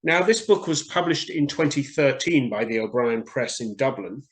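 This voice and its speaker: A man reading stories aloud to children in an expressive way, speaking directly to his young listeners. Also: mid-range voice, normal speed. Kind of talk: children's story reading, scripted narration.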